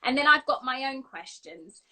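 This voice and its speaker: Gushing woman host on a livestream, high bright voice, keeps talking to viewers. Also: animated, moderate pace, poor audio. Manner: effusive, encouraging, addresses viewers. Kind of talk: live online interview.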